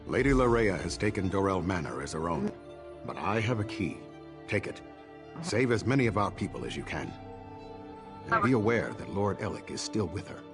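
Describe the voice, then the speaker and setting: A man playing a troubled, earnest figure of authority in a video game, speaking in a grave, measured way. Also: low-pitched. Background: music.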